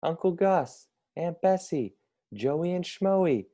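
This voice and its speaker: A man voicing an excitable, exasperated vampire who hosts a family dinner in a storybook. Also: a little high, takes it slowly, pitched above the performer's own voice. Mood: delighted.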